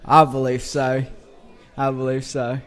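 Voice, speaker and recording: A young man from Sudan speaks in a mid-range voice, answering interview questions. He pauses often and restarts his sentences, hunting for words as he goes. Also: medium pitch, level tone, fairly bright tone, faint music behind. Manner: hesitant, many uh fillers and restarts. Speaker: footballer interviewee.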